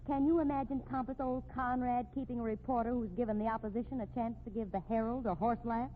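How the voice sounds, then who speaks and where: An actress in a 1950s radio drama playing a brisk, wisecracking woman reporter with a slangy, no-nonsense manner. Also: fairly high pitch, fairly even intonation, close to an everyday voice. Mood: teasing. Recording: tinny, noisy old radio recording.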